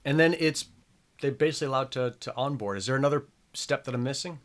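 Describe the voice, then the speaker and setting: A man's voice: mid-range voice, quick pace. A man hosting a casual podcast chat, rambling and chatty with plenty of filler words. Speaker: show host.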